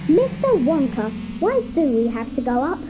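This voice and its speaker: A woman's voice: high-pitched, big pitch swings, moderate pace, noisy background. An actress playing an earnest, polite young boy in a studio radio play with music underneath. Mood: curious.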